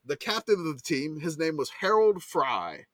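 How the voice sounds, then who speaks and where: A man's voice: on the high side, very animated, normal speed, a bit bright, no echo. A man from Louisiana with a Louisiana accent, rambling casually through sports history on a podcast and laughing at himself. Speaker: podcast co-host. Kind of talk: casual chat.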